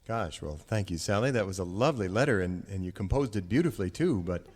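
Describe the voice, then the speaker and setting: A man's voice: on the low side, animated, fast, a little mellow, slightly rough. An actor playing a polite, earnest singing-cowboy host, the folksy straight man of a comic western radio show. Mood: courteous, apologetic.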